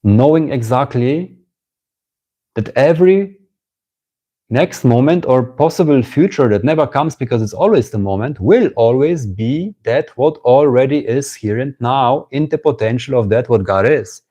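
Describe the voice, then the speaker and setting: A man with a German accent speaks in a mellow, low-key voice, musing on spiritual ideas during a live stream. He talks slowly and circles back on his phrases, pausing to greet viewers as they arrive. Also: expressive. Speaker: spiritual teacher.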